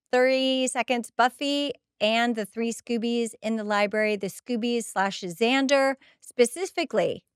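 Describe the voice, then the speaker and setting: A middle-aged woman hosting a podcast, reading recap notes with casual, expressive and exasperated asides. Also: on the high side, clean tone, dry recording, studio quality. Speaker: podcast host.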